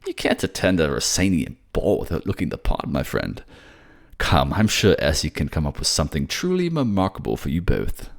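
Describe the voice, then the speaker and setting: A man acts out a flamboyant young nobleman from a fantasy audio drama. He is effusive and affectionate, with a swooping, animated delivery. Every phrase has a cheeky, teasing polish to it. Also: rumbling low, moderate pace, vocal fry, full character voice. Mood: jovial. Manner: effusive, teasing, calls listener pet names.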